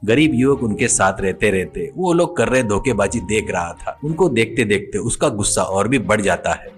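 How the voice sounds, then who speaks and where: A man's voice: lowish voice, lively intonation, moderate pace, soft background music. A man narrating a scripted cartoon story as the storyteller.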